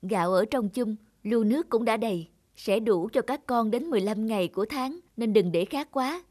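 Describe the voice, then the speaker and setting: A woman's voice: on the high side, normal speed, warm tone, no room sound, clean studio sound, natural voice. A woman playing a selfless, worn-out peasant mother in a Vietnamese cartoon fairy tale, pleading and tender. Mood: tender, resolved.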